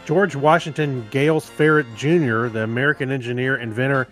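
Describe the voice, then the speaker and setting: A man's voice: mid-range voice, fairly even intonation, brisk, a bit bright, faint music behind. A man co-hosting a podcast, reading out items and chatting casually. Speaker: podcast co-host. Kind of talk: casual announcing and banter.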